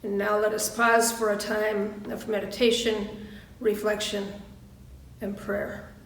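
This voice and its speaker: A woman minister giving a sermon and prayer in measured, deliberate short phrases with pauses. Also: mid-range voice, level tone, a little hiss or hum. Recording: roomy echo, distant microphone.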